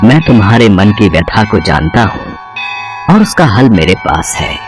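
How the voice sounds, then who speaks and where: A man's voice: on the low side, very animated, normal speed. A man voicing a solemn, mystical holy man in a cartoon story, portentous and benevolent. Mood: knowing. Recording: music and bells behind voice.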